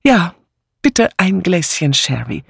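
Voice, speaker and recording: A man's voice: high voice, big pitch swings, slow pace, vocal fry, a bit bright, slightly rough, dry recording, clean studio sound, voice pushed up, heavily acted. A man voicing a chatty, scatterbrained middle-aged vicar's wife who rambles on breathlessly in an audiobook reading. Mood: eager. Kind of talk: audiobook character voice.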